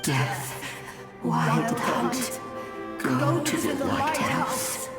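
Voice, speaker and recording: An otherworldly, solemn ghost from a video game. It chants extremely slowly in halting fragments with swooping pitch, echoing over music. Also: female voice, very animated, a loud crowd, exaggerated voice. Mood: eerie. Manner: halting, drawn-out. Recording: echoing spectral reverb, music behind.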